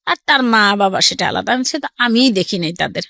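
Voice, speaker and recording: A woman's voice: medium pitch, fairly quick, vocal fry, no echo, clean studio sound. A middle-aged woman with a Bangladeshi accent telling her personal story in an interview, acting out what people said as she goes. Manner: animated, quotes people's words as she recounts.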